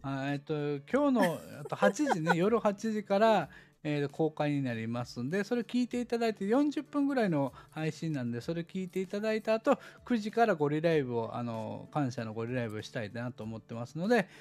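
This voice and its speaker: A woman's voice: low-pitched, animated, brisk, hardly any pauses, darkish tone. A woman with a Kansai accent chatting casually as a guest creator, bantering with dry retorts. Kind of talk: casual comedic chat, promoting a release.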